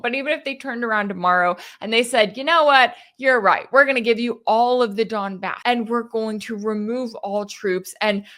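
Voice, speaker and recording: A woman's voice: on the high side, a bit bright. An American woman giving opinionated political commentary as a host, with an emphatic, rhetorical delivery and sarcastic asides. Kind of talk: opinionated news commentary, reading interview quotes and reacting.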